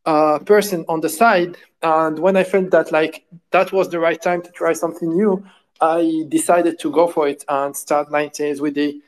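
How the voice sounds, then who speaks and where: A man's voice: fairly high pitch, little pitch movement, moderate pace, poor audio. A man from Morocco, a game studio co-founder, answering interview questions in a rambling way with many fillers and restarts. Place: at home, lives in France.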